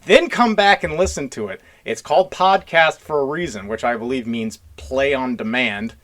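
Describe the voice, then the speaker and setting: A man's voice: medium pitch, lively intonation, moderate pace, a bit bright. Blunt, rambling man hosting a podcast. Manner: rambling, earnest, blunt blue-collar talk with swearing. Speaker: podcast host. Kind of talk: casual podcast discussion.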